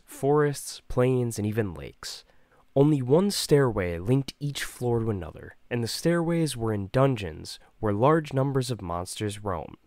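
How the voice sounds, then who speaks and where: A man's voice: mid-range voice, no echo. A man doing scripted narration and reading aloud as an amateur voice actor, with a self-deprecating manner. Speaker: amateur voice actor presenting a demo reel.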